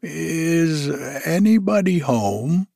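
A slow, simple bear , as a man reading aloud puts him on, hums in a lilting sing-song and muses aloud in a lifted, creaky voice. He drawls every word with husky warmth, close and dry. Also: a little high, very animated, no room sound, pitched above the performer's own voice, heavily acted. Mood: puzzled. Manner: slow, sing-song humming, puzzled musing. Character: male bear, slow, simple, cheerful hummer.

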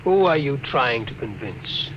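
A man's voice: mid-range voice, big pitch swings, unhurried, fairly bright tone. An actor playing a worried, argumentative male ship's doctor in an old radio drama, wry and emphatic. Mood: probing, sceptical. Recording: noisy vintage radio drama recording.

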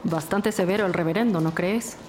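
A woman's voice: fairly low pitch, fairly quick, noisy background, lightly acted. A woman playing a courteous, businesslike lead detective who probes with questions, in an audio drama. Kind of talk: Spanish-language audio drama acting. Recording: clear background music under voice.

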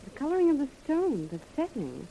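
A woman's voice: high-pitched, huge pitch swings, brisk, vocal fry, dark tone, heavily acted. An actress playing an inquisitive, forthright woman adventurer in a 1930s radio serial, with dramatic delivery. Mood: curious, startled. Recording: noisy vintage radio recording.

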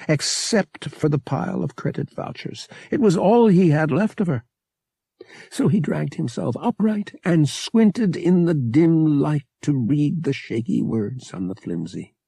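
A man narrating fiction as an audiobook reader, dramatic and expressive with measured phrasing. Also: a little high, creaky, husky, no room sound.

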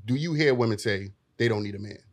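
A man's voice: on the low side, fast. A man speaking bluntly and with profanity, often cutting in during a heated panel debate.

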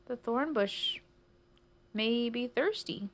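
A woman voicing a kind, helpful storybook policeman who is calm, gentle and earnest. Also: on the high side, slow, voice pushed up.

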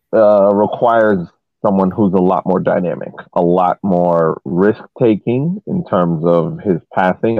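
A man talking casually as a podcast panelist analysing soccer, explaining at length in a halting way with repeated words. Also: low-pitched, gravelly, no echo. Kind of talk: casual match analysis discussion. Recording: thin phone-like line.

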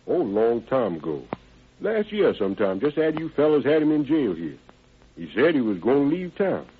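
A man's voice: medium pitch, very animated, normal speed, narrow, tinny audio, natural voice. An actor playing an evasive young ex-convict odd-job man in an old radio crime drama, speaking in a hesitant, rambling, folksy and ungrammatical way. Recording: band-limited vintage radio recording.

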